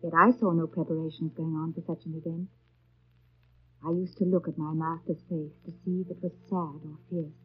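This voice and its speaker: Old muffled 30s radio play, a young governess, husky voice, formal and heartfelt, full of feeling. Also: female voice, low-pitched, little pitch movement, a little fast. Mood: wistful, tender. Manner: literary, formal, heartfelt delivery. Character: young governess in love with her master, earnest and passionate. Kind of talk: 1930s radio drama acting. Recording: dull lo-fi 1930s radio recording.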